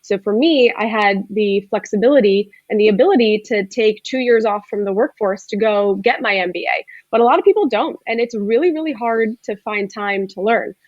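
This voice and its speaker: A woman's voice: mid-range voice, normal speed, hardly any pauses, a little mellow, no room sound. Articulate woman with a muffled sound. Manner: articulate, explanatory, frequent "you know". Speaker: guest, startup co-founder. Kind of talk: conversational interview answers.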